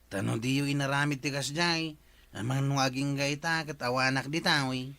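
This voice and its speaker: Bright-voiced, deferential Ilocano workman. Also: mid-range voice, steady tone, moderate pace, creaky, no echo. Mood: apologetic, grateful. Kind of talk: Ilocano radio comedy drama acting.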